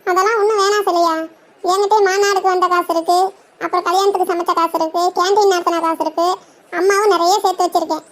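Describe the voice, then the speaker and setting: Woman as a squeaky-high, polite cartoon housewife. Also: steady tone, fast. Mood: proud. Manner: respectful, reassuring. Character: polite, determined housewife and mother starting a business. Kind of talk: cartoon parody dialogue.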